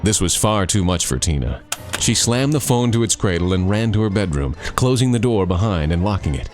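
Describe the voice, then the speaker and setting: A man narrating a scripted scary campfire story, dramatic, with deliberate pauses. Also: low-pitched, expressive, a little mellow, faint music behind. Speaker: author and narrator.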